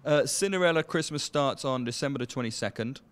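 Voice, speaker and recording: Guy, smooth clear voice, mid pitch, plugging his show in an interview. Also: animated, fairly quick, a bit bright. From England. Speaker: theater producer. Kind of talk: casual promotional interview.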